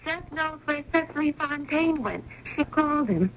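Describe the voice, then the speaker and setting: A woman's voice: high voice, lively intonation, normal speed, muffled recording. An actress playing a cool, self-assured woman with a pointed manner in a 1970s radio drama. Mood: brisk, cool. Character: Eleanor, cool, self-assured younger woman, curator's cousin. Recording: noisy, band-limited 1970s radio broadcast.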